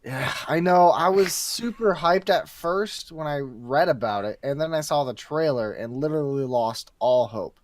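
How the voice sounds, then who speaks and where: Higher-voiced man, emphatic casual podcast guest. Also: moderate pace, few pauses, a little hiss or hum. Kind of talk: casual chat about games and movies.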